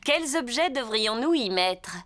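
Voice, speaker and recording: A woman's voice: on the high side, expressive, measured pace, heavy background noise. A woman voicing a kindly nursery teacher in a children's cartoon dub, explaining things in an encouraging way. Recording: music behind the voice.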